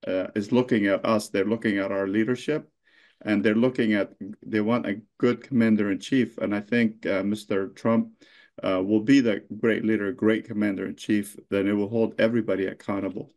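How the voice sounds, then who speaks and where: A middle-aged man from Lebanon with a fairly low voice speaks off the cuff. He answers in a halting way, with many fillers, repeated words and restarts. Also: fairly even intonation, a little mellow. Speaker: mayor being interviewed. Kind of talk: unscripted interview answers.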